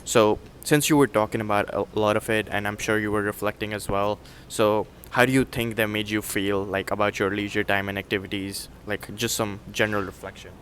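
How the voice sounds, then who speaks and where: A young man conducts a casual survey interview, moving briskly from question to question. His voice sits a little low for a man. A faint background noise is audible. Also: steady tone, a bit bright. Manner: quick, breezy, repeats "cool". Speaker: student interviewer.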